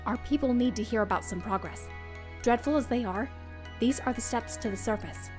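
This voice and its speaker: A woman's voice: on the high side, a little fast, darkish tone, lightly acted. A woman playing a resolute, regal monster queen in a comic dub, speaking formally and solemnly. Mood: firm, grave. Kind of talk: dramatic comic dub acting. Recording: music behind voice.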